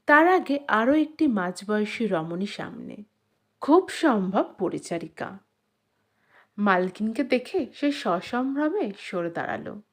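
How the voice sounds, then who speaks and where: A woman reading a literary story as an audiobook narrator, in a measured, expressive dramatic style. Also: mid-range voice.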